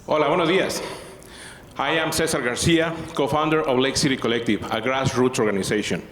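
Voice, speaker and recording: A man who co-founded a community organization, giving a measured, earnest prepared speech. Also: medium pitch, fairly even intonation, a bit bright. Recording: roomy hall reverb, faint noise.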